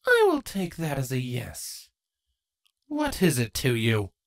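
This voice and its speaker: A man's voice: medium pitch, huge pitch swings, takes it slowly, gravelly, exaggerated voice. A man voicing a stern, protective changeling queen, formal, curt and wary, in a dramatic fan fiction reading. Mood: threatening.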